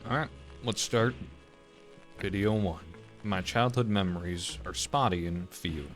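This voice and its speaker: A man's voice: sits low, a little slow, soft background music. A man hosting a casual reaction, commenting analytically and explaining what he notices.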